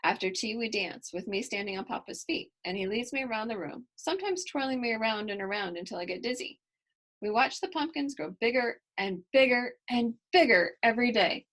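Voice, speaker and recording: A woman's voice: a little high, lively intonation, fairly quick, fairly bright tone. A woman reading a children's story expressively, with chatty asides to young listeners. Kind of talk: expressive picture-book read-aloud with chatty asides to children.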